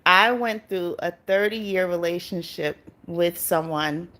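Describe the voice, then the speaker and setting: A woman's voice: on the low side, slow, sharp tone. Chatty woman from Barbados hosting a live stream. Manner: chatty, greets viewers by name, laughs often. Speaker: YouTube channel host. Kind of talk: casual live-stream chat with viewers.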